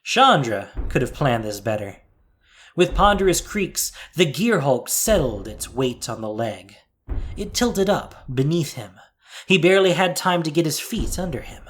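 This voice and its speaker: A man's voice: a little high, a bit bright. A man giving a dramatic, scripted narration of an adventure story as the narrator of an audio drama.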